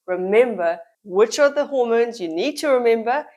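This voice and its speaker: Woman, middle-aged, head cold, croaky, emphatic, repeats key words, explaining to camera. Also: on the high side, animated, normal speed, mellow. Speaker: women's health educator.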